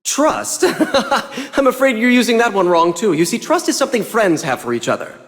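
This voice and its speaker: A man's voice: very high-pitched, very animated, moderate pace, fairly bright tone, full character voice. A man voicing a sly, smug scheming male villain in a video game, talking down in a mocking, theatrical way. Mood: condescending. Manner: mocking, theatrical, talks down to listener. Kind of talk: English video game voice acting. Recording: long reverb, faint music behind. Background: faint music.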